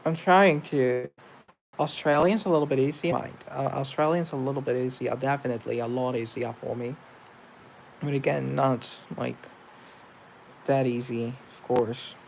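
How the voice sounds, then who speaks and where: A man's voice: mid-range voice, fairly even intonation, moderate pace, creaky, a little hiss or hum, rough recording. A man chatting casually on a livestream, reading comments aloud and answering them with self-mocking humor. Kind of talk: casual live chat.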